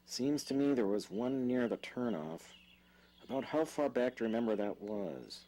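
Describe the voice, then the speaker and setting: A man's voice: mid-range voice, expressive, normal speed, dark tone, lightly acted, low-quality recording. A man playing a practical, wry young houseguest in an audio drama, with a dry conversational manner. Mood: casual, dry.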